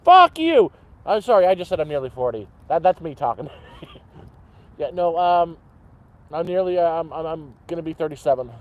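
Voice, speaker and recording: A man's voice: fairly high pitch, sing-song, normal speed, long pauses, highs cut off. A man in his thirties chatting casually to his livestream chat outdoors by a campfire, rambling and swearing freely. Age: 30s. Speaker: livestream host.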